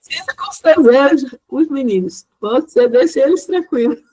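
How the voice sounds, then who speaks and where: A woman speaks as a guest lecturer to a class. Her voice sits a little high. She talks in a loose, chatty way and keeps tagging her sentences with little fillers. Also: expressive, normal speed, dark tone. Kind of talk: informal talk to a class.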